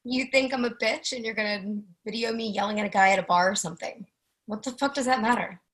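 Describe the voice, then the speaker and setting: A woman's voice: on the high side, quick pace, a bit bright. A woman in a script reading, playing an elegant, cold and defiant woman in her mid-forties. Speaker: actor in a screenwriters' readers theatre.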